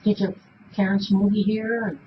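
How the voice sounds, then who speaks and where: A woman interviewer is heard on a dull recording with clear background noise. She puts her questions conversationally and informally, in a medium-pitched voice. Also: fairly even intonation, a little slow. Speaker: police polygraph examiner, interviewer. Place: small police interview room. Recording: muffled, noisy interrogation room recording.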